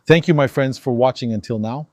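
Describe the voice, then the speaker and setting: A man's voice: mid-range voice, a little fast, dry recording, studio quality. A man from Algeria giving an emphatic opinion monologue to his viewers, acting out dialogues. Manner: animated, emphatic, acts out dialogues. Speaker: commentator.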